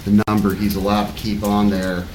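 A man's voice: fairly low pitch, little pitch movement, a little fast. A man briefing a township board at a public meeting, matter-of-fact and explanatory. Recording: distant mic in an echoey, noisy room.

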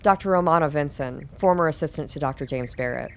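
A woman's voice: sits low, quick pace, gravelly, a little hiss or hum. A woman playing a formal, self-assured older doctor in a radio drama, composed and guarded.

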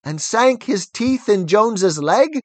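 A man voicing Squealer, a persuasive, excitable male pig who talks fast and insistently. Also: high-pitched, no room sound, pitched above the performer's own voice. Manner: smooth, insistent, wheedling.

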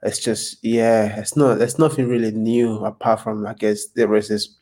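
A man from Nigeria, a podcast guest, talking thoughtfully with many pauses and restarts. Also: fairly low pitch, steady tone, warm tone. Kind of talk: casual interview chat.

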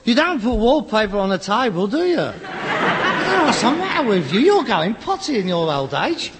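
A man's voice: sits high, very animated, normal speed. An actor playing a sarcastic, exasperated grown son in a British sitcom, a London rag-and-bone man with a mocking manner. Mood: exasperated, scornful. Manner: mocking, sardonic. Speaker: actor in a comedy. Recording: reverberant, noisy old sitcom recording.

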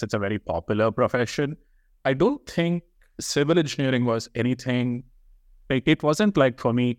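Low-pitched Indian-accented man. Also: no echo, clean studio sound. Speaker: co-host, engineering professor. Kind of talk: conversational podcast talk.

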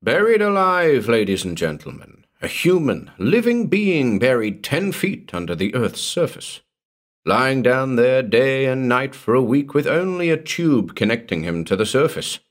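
A man's voice: medium pitch, sing-song, a little slow, pitched above the performer's own voice. A man putting on a stentorian carnival barker. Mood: showy hawking. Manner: loud, declamatory, hawking. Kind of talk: dramatic audiobook character reading.

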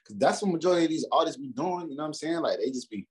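A man's voice: fairly high pitch, animated, racing, husky. A man in his twenties chatting casually as a rapper in an interview, loose and slangy with lots of fillers and restarts. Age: 20s. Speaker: rapper guest.

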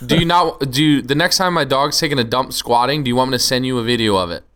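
A man's voice: medium pitch, fast, a bit bright, smooth. Upbeat man steering a podcast. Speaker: podcast co-host. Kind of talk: casual podcast chat.

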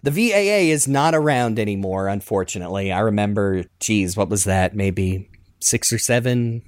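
A man in his thirties reminiscing casually as a podcast host in an animated, rambling, self-deprecating way. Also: on the low side, moderate pace, no room sound, clean studio sound.

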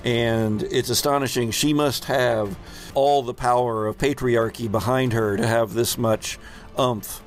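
Slow-paced man narrating as game master. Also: medium pitch, fairly even intonation, slight background noise. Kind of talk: improvised tabletop game narration.

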